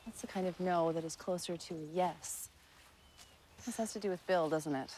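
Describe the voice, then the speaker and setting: A woman playing a sharp-minded, matter-of-fact private detective in a 1920s period TV drama. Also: fairly low pitch, normal speed, slight background noise, close to an everyday voice. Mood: probing, friendly. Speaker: lead actress in a TV detective series. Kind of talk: naturalistic TV period drama acting. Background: background music.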